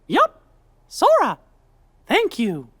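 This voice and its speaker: A man voicing a kindly, earnest mouse in an animated game, in a squeaky falsetto with a gentle storytelling manner. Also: extremely slow, no echo, studio quality, full character voice. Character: kindly, earnest male cartoon mouse leader. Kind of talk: animated game character acting.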